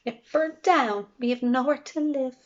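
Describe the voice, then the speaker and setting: Woman putting on squeaky high mother mouse. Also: unhurried, highs cut off, a little hiss or hum. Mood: distressed.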